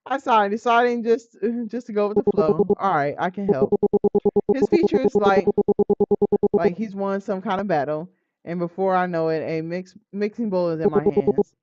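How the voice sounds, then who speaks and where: A game streamer reading a visual novel aloud in an informal, expressive way, breaking into slangy asides. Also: mid-range voice, normal speed. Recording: muffled audio with music behind.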